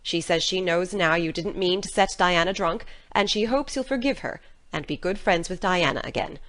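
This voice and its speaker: A woman's voice: lowish voice, moderate pace, fairly bright tone, close to an everyday voice. A woman playing a stern, practical middle-aged farm guardian in an audiobook, with a dry, no-nonsense, scolding manner but a caring heart. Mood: matter-of-fact. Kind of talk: dramatic audiobook reading.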